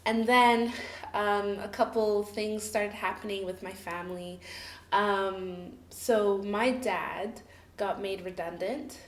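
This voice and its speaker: A woman in her thirties, a guest on a chat podcast, tells her story in a loose, chatty way. She fills her talk with "like" and "you know" and laughs easily. Her voice sits in a middle pitch and rings in an echoey room. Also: fairly even intonation, slow. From Cameroon, raised in the UAE. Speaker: interview guest. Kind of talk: casual personal storytelling.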